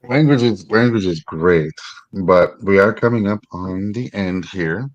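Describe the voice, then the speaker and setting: A man hosting an interview show, thanking his guest with many pauses and ums. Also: sits low, dry recording, dull audio. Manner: warm, hesitant, many ums. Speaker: show host. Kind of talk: interview host wrap-up.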